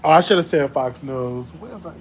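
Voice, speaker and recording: A man hosting a casual live show, making a playful phone call in a laid-back, quietly amused way. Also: on the high side, lively intonation, a little fast, a little hiss or hum. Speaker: host.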